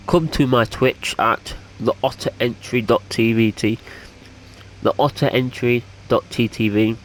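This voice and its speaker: A young man with a nasal voice from hay fever, chatting casually while commentating his racing game. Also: medium pitch. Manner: chatty, excitable. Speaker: gaming YouTuber. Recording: noisy home recording.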